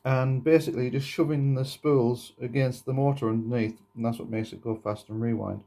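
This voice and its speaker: A man giving a casual, step-by-step hands-on demonstration in a halting, explanatory way. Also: mid-range voice, steady tone, gravelly, warm tone. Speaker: presenter of a gadget demonstration video. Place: indoors at a wooden table.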